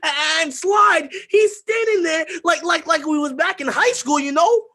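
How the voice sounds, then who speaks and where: A man's voice: on the high side, animated, moderate pace, a bit bright. A man playing a stammering, jittery teenage boy. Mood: frantic. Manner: stammering, repeats words, filler-heavy. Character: nervous seventeen-year-old boy, rambling and volatile. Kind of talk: dramatic monologue.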